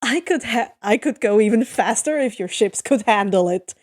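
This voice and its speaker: A woman's voice: on the high side, animated, normal speed, a little mellow, lightly acted. A woman voicing an easygoing, modest yet mischievous teenage demigod boy in a story, mild and casual. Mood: casual confidence.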